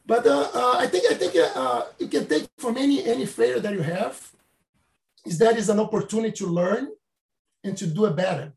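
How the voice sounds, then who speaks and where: A Brazilian-born professor in his late fifties speaks in a high, gravelly voice. His phrasing is halting and non-native, full of restarts. The room around him is echoey. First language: Portuguese. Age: 50s. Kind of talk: reflective interview answers.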